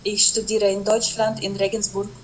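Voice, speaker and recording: A woman's voice: mid-range voice, little pitch movement, normal speed, a little hiss or hum, poor audio. A young woman whose first language is Russian, an exchange student giving hesitant, casual answers in a student interview with many fillers. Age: young adult.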